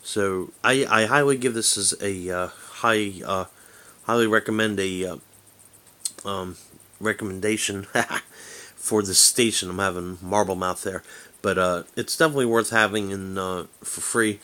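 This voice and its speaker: A man giving a casual hands-on demonstration as a hobbyist vlogger, halting and rambling as he thinks aloud. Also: lowish voice, fairly even intonation, pushed and bright.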